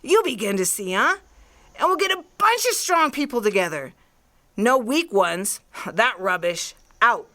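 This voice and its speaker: A woman performs a scheming, fanatical artillery woman for an audio drama. Her voice sits fairly high and cuts through sharp and intense. She rants with cocky, folksy, colloquial swagger. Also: very animated, a little slow, full character voice. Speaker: acting class student.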